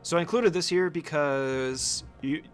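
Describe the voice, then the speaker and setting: A man's voice: fairly high pitch, unhurried, soft background music. Casual man giving a game tutorial. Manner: informal, conversational, explanatory.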